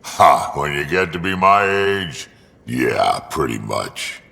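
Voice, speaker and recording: A man playing an old, gruff, amused alien veteran in a video game, laconic and dry with a gravelly voice. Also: a bass voice, very animated, slow pace, bright, forceful tone, exaggerated voice. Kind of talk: video game dialogue acting. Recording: reverberant room.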